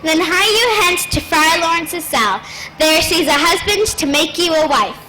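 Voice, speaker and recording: A woman's voice: extremely high pitch, expressive, a little slow, pushed and bright, slight background noise, full character voice, low-quality recording. A woman playing a weary, complaining old nurse in a Shakespearean stage scene, groaning and grumbling theatrically. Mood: teasing, exasperated. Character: weary, complaining old nurse, teasing her young charge.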